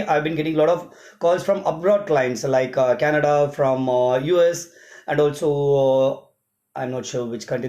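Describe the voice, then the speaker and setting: A man's voice: on the high side, darkish tone. A man with an Indian accent who works as an event emcee, explaining things informally step by step like a vlogger. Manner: repetitive, step-by-step explaining with examples. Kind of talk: informal explanatory vlog monologue. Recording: roomy, echoing sound.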